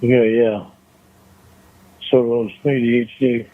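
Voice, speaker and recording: A man on a phone call, arguing defensively in a halting, repetitive way. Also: mid-range voice, flat delivery, no echo. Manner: halting, repeats himself, defensive. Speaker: phone caller. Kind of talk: argumentative private phone call. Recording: tinny, narrow phone line.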